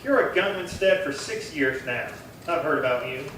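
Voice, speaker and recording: An actor playing an anxious rancher in a western radio play, pleading and defensive in a halting way. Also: male voice, fairly high pitch, animated, natural voice. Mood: frightened, defensive. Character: anxious, pleading rancher, the guilty younger-sounding brother. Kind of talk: old-time western radio acting. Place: live theatre stage performance. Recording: very roomy, distant, noisy stage pickup.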